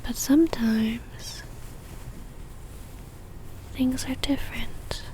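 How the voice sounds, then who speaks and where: A woman voicing a lovestruck, possessive vampire in a soft, breathy ASMR whisper, speaking intimately and coaxingly close to the microphone. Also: fairly high pitch, extremely slow. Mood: tender, lovestruck. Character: possessive lovestruck female vampire stalker, centuries old.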